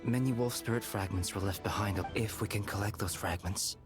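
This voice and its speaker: A man playing a composed, earnest video game character who explains things formally and deliberately. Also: fairly low pitch, music in the background, lightly acted. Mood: grave, composed.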